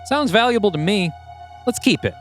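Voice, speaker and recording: A sneering raider leader acted by a man with a raised pitch, menacing and smug. He barks orders in a satirical audio play, with faint music behind. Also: animated, moderate pace. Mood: cold, greedy. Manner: threatening, sneering.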